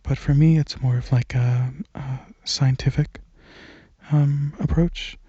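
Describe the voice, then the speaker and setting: A young man speaks slowly and softly in a rambling ASMR style, with a mellow, slightly husky voice. He is hesitant and sometimes sinks toward a whisper. The sound is close and dry. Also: medium pitch, fairly even intonation, no echo, highs cut off. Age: young adult. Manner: soft-spoken, hesitant, drifting into near-whispers. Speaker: ASMR channel creator.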